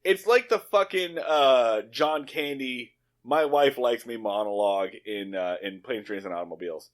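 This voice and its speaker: A man's voice: medium pitch, moderate pace. A man co-hosting a podcast in casual, comedic recap style, swearing and acting out lines in his own loose paraphrase. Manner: profane, acts out dialogue in paraphrase. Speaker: podcast co-host. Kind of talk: casual comedic recap chat about a TV show.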